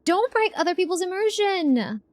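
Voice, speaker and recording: A woman's voice: very high voice, very animated, normal speed, a little mellow, dry recording. A woman streamer giving casual, playful live reactions to a game, often repeating short phrases. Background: game music.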